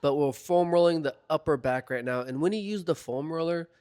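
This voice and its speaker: Young man, hoarse chatty voiceover. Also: a little high, fairly quick. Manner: relaxed, chatty, filler-heavy. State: slightly hoarse from recovering from illness. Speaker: fitness vlogger. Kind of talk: casual instructional voiceover. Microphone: voiceover mic.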